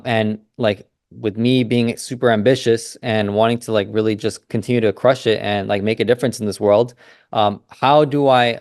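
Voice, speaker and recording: A man's voice: mid-range voice, no echo, studio quality. A young adult man talking casually as a business consultant host, loose and rambling with many fillers and restarts. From United States. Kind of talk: casual monologue.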